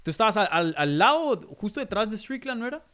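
A man's voice: sits high, sing-song, fast talker, fairly bright tone, slight background noise. A man hosting an interview, asking long, rambling questions with hesitant fillers. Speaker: interviewer, host.

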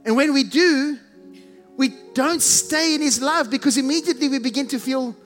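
A man with a South African accent preaching a sermon, emphatic and repetitive, slowing down to stress key phrases. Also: very high-pitched, a bit bright. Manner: emphatic, repeats strings of synonyms, stretches key phrases slowly. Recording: reverberant hall with faint music behind.

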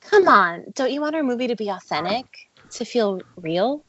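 A woman's voice: a little high, expressive, moderate pace, fairly bright tone, slightly rough, no echo, full character voice. Woman playing a flirty actress, dull call audio. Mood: seductive, teasing. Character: flirtatious, teasing film actress. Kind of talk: amateur table read of a screenplay. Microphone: remote call from home. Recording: muffled internet call audio.

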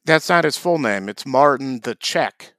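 A man co-hosting a podcast, delivering deadpan dry jokes in casual comedic chat. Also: medium pitch, measured pace, no room sound. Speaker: podcast co-host.

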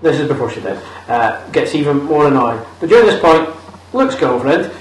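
A young man recaps a film in a blunt, mocking way, with swearing scattered through his talk. His voice is a little higher than most men's. The room echoes around him, and he sounds set back from the mic. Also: expressive, fairly quick. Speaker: podcast co-host. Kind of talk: casual film review chat. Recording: roomy, distant mic.

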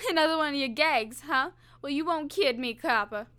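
A woman's voice: high-pitched, expressive, moderate pace, bright, forceful tone. A woman playing a jittery, tough-talking small-time crook in an old-time radio drama, brash and defiant at first, then frightened and pleading. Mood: scared, defiant.

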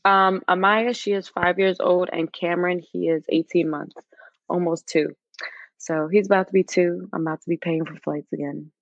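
A woman's voice: lowish voice, steady tone, moderate pace, gravelly, dry recording, lo-fi sound. A woman chatting informally as a podcast guest, in a casual and conversational way.

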